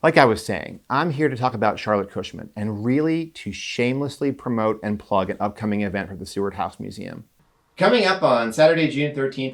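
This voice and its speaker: A man's voice: medium pitch, reverberant. A man presenting to camera as a playful, self-aware museum education host.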